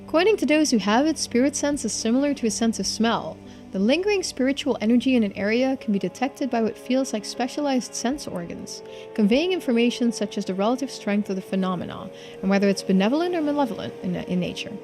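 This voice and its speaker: A woman's voice: a little high, animated, fast, a little mellow, soft background music. A woman game streamer reading game text aloud, with bits of casual commentary. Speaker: streamer playing and voicing a game.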